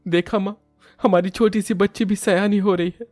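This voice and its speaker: A man's voice: sits high, fairly even intonation, moderate pace, a little mellow, dry recording, clean studio sound, faint music behind, close to an everyday voice. A man voicing a caring, anxious young husband and father in a cartoon, earnest and emotive in manner. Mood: touched.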